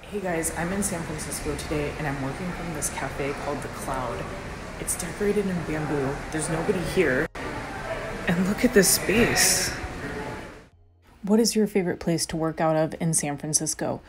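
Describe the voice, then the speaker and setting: A young woman hosts a casual travel vlog, her voice a touch low and her delivery chatty and upbeat as she speaks straight to viewers. Loud background music plays under everything she says. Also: moderate pace, rough recording. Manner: upbeat, chatty tour-guide narration to viewers. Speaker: travel vlogger.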